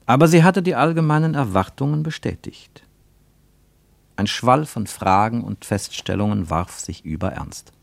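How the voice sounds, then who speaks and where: A man reading scripted literary narration for radio in a measured, solemn manner. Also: medium pitch, animated, vocal fry, no echo.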